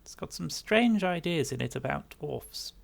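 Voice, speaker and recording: A man voicing a grave, formal fae guardian of a forest, speaking in a measured, earnest way. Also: on the high side, expressive, creaky, voice pushed up, heavily acted. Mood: hesitant, puzzled.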